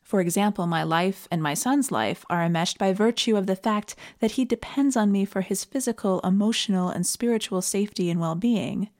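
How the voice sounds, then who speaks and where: A Canadian woman giving a reflective, scripted sermon in an earnest and measured manner. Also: medium pitch, a little mellow. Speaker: preacher.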